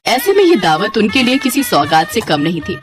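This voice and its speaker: Female narrator for children's tales; animated, expressive scripted delivery, mid-pitched. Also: normal speed, music in the background. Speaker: storyteller.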